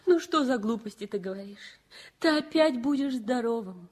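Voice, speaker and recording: An actress playing a young nurse and loving wife in a 1960s radio play, speaking in a soothing, pleading way. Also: female voice, a little high, expressive, normal speed, a little mellow.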